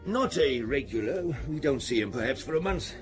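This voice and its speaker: An actor playing a charming, courteous Corsican bar owner in a radio drama, speaking in a deliberate, storytelling way. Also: male voice, medium pitch, animated, over music, lightly acted. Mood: confiding, animated.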